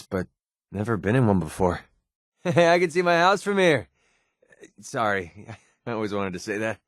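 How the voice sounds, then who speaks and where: A sardonic young American sniper-for-hire voiced by a man from a post-apocalyptic video game. He talks in a casual, wisecracking way at an average male pitch. The sound is dry, with no room on it. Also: no room sound, lightly acted. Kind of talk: video game companion voice lines.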